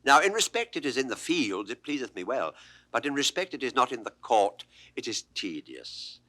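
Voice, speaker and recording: A mock-grave court jester with a British accent, bright and wildly varied in pitch. He delivers balanced, teasing pseudo-philosophy in classical stage style, as in a 1960s recorded play. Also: big pitch swings, moderate pace. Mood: mock-philosophical, teasing. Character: witty, mock-grave court jester lording it over a shepherd. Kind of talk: classical Shakespearean stage acting. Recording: 1960s studio audio drama recording.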